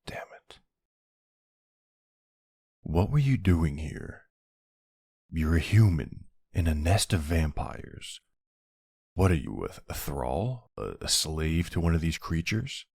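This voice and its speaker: A man playing a centuries-old vampire hunter in an ASMR roleplay, gruff, wry and suspicious, questioning someone in a brusque, intimate way. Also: extremely low pitch, sing-song, darkish tone, no room sound, studio quality, full character voice. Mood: stern, wary. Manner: brusque, wry, slow interrogating delivery with pauses. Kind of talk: ASMR roleplay, intimate one-sided dialogue.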